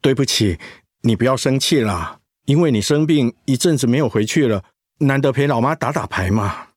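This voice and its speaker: An actor playing a remorseful husband who pleads softly and apologetically in an audio drama. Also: male voice, medium pitch, animated, normal speed, no echo, clean studio sound, lightly acted. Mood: placating. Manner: soft, pleading.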